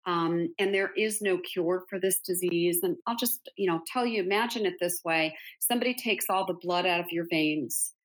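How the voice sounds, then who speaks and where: Middle-aged woman guest, kinda low voice, earnest and emphatic storytelling. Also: moderate pace, few pauses, low-quality recording. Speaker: interview guest, author and speaker. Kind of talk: conversational interview.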